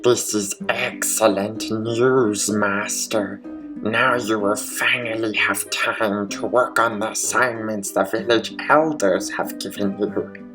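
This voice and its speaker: A man's voice: on the low side, steady tone, takes it slowly, music in the background, low-quality recording. A man voicing a loyal, servile henchman to a mad scientist, speaking obediently in old radio mystery style. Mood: dutiful. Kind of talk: 1940s radio mystery acting, comedic.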